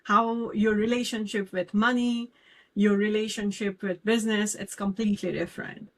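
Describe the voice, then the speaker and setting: A woman's voice: medium pitch, fairly even intonation, moderate pace. A young adult woman from Nepal co-hosting a casual podcast, talking earnestly and candidly about her worries. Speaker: podcast co-host.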